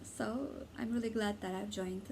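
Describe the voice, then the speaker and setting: A young Turkish woman with a Turkish accent, talking casually as a book vlogger and pausing often with "uh" between words. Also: mid-range voice, steady tone, creaky, noisy background. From Turkey. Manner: hesitant, many "uh" pauses between words. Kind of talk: casual tag video talk.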